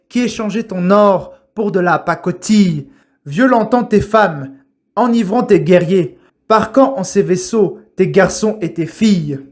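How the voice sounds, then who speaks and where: A man reciting a poem in a solemn, dramatic style, with declamatory, measured phrasing and deliberate pauses. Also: high voice. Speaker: poetry reciter.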